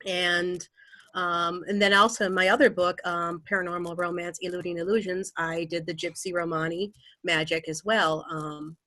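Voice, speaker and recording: A woman from Wisconsin chats as an author on an online panel. Her voice is on the lower side but bright and forward. She talks in an easygoing way with plenty of fillers. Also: little pitch movement, normal speed, no room sound. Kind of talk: casual panel talk. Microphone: video call.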